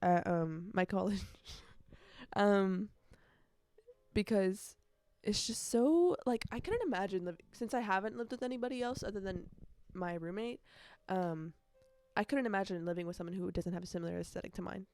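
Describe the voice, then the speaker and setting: A woman's voice: medium pitch, moderate pace, darkish tone, dry recording, faint music behind. A nineteen-year-old woman chatting casually on a solo podcast, rambling and correcting herself, with youthful slang and emphatic repetition. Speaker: podcast host.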